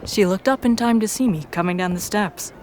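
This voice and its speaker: A woman's voice: mid-range voice, a little fast, a little mellow. A woman reading scripted first-person story narration. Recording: faint background noise.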